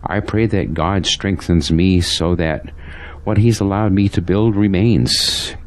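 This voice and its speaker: A man playing a burdened, earnest pastor in an audio drama, speaking solemnly and haltingly. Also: low voice, fairly even intonation, rough recording. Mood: anxious, prayerful.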